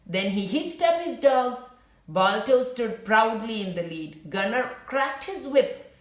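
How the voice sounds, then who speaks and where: A woman reading a children's storybook aloud in a simple, deliberate way, as if to young listeners. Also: a little high, lively intonation, echoey room. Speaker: children's book read-aloud narrator. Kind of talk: storybook read-aloud with brief asides to viewers.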